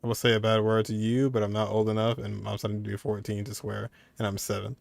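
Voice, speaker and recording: A man's voice: fairly low pitch, little pitch movement, fast talker, gravelly, dry recording. A man streaming a video game, giving casual, laid-back live commentary in short muttered reactions.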